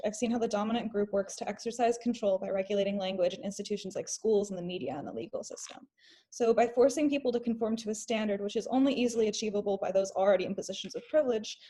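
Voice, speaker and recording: A woman's voice: mid-range voice, mellow. A woman speaking as a researcher on a scripted panel discussion, earnest and reading from a script. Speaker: researcher guest.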